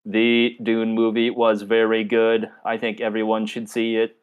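Low-key young man with a flat delivery. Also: measured pace, clear, resonant tone, narrow, tinny audio. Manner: low-key and flat, self-described monotone. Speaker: podcast co-host. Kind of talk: casual chat.

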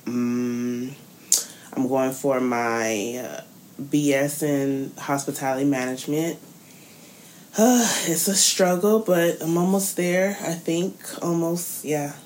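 A woman's voice: rumbling low, darkish tone, a little hiss or hum, low-quality recording. A young woman co-hosting a podcast, chatting casually and teasing her husband, laid-back. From South Florida, Haitian parents. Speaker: podcast co-host. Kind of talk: casual chat.

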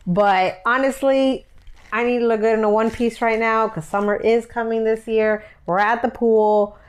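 A woman's voice: on the high side, steady tone, normal speed. A woman in her twenties co-hosting a podcast, chatting casually with her husband in a playful, teasing and frank way. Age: 20s. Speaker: podcast co-host.

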